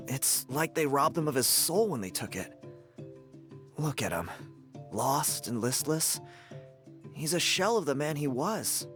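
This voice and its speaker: A man's voice: a little high, animated, unhurried, long pauses, a bit bright, soft background music. An actor playing an earnest, sympathetic young man in a video game, speaking emphatically. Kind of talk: video game character acting.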